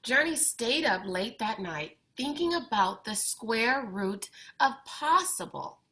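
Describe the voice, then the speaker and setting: Slow, bright-voiced woman reading a storybook. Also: a little high, animated. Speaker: storyteller reading a picture book aloud. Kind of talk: read-aloud storytelling for children.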